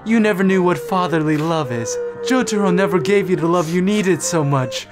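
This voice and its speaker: A man voicing a flirtatious, smug romantic in an animated comic fan dub, with melodramatic, teasing delivery. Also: high-pitched, normal speed, close to an everyday voice. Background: music.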